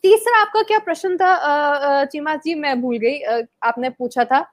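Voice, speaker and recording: Impassioned high-voiced woman from Kashmir. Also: measured pace. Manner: impassioned, argumentative, rhetorical questions with many hesitations. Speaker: interview guest. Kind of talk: heated debate answer.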